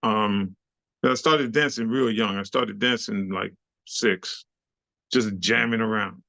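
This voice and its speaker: Gravelly New man in his sixties. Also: on the low side, takes it slowly, no echo. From Haiti, raised in Brooklyn, New York. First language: Haitian Creole. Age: 60s. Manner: animated, slangy, laughs often. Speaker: interview guest, club dancer. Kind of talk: casual storytelling interview.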